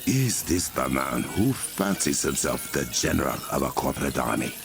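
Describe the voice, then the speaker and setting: A regal superhero king with an African accent. His voice is extremely low and gravelly, formal and commanding, over clear game music. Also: big pitch swings, normal speed, exaggerated voice. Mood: menacing. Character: regal, stern young warrior king and superhero. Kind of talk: video game voice acting.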